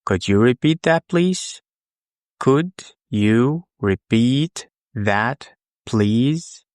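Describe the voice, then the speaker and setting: A man who is a native Arabic speaker, teaching English in a scripted, deliberate, instructional lesson style. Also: medium pitch, no echo, clean studio sound. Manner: deliberate, instructional, with pauses for the learner. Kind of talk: scripted language lesson, repeat-after-me drills.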